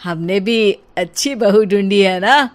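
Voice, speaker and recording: A woman's voice: medium pitch, slow, natural voice. A woman voicing a nosy, smug neighbour in a cartoon, speaking pointedly.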